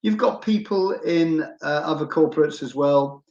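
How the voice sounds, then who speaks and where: A man's voice: on the high side, a little slow, a little mellow. British man, rambling, echoey dull recording. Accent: British English. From United Kingdom. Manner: rambling, with frequent ums and restarts. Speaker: English tutor. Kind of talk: informal promotional talk to camera. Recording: echoey room, dull lo-fi sound.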